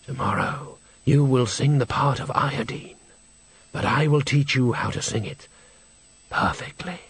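A man voicing a mysterious, pleasant, softly coaxing stranger in an audiobook. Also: mid-range voice, a little slow, creaky, slight background noise. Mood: promising.

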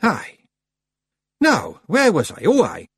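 A high, swooping Scottish voice for a pint-sized clan chief. He is bossy and boastful, hectoring his troops in emphatic storybook speeches. The sound is close and dry. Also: huge pitch swings, slow, slightly rough, dry recording, exaggerated voice. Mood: dire, commanding.

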